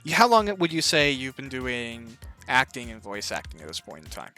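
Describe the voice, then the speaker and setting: Bright-voiced man interviewing casually. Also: medium pitch, wildly swooping pitch, moderate pace, faint music behind. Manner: encouraging, rambling questions. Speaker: interviewer, audiobook creator. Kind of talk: casual interview while playing a video game.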